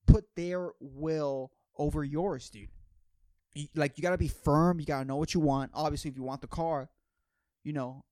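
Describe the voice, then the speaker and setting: A man's voice: fairly high pitch, darkish tone, no room sound. A man hosting a podcast, talking in a casual, rambling, slangy and profane comedic monologue, often repeating phrases for emphasis. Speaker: podcast host.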